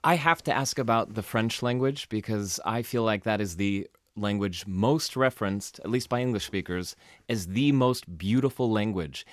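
A man's voice: lowish voice, normal speed, no room sound, studio quality. A man co-hosting a podcast, asking casual interview questions in a chatty, joking, self-deprecating way. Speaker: podcast co-host.

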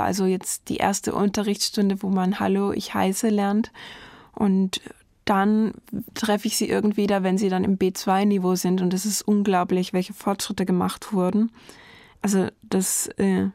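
A woman's voice: medium pitch, a little mellow, dry recording, studio quality. A woman in her thirties from Germany, a German teacher, talking in a thoughtful and friendly way about her work and enthusiastic about her students. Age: 30s. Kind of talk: personal portrait interview.

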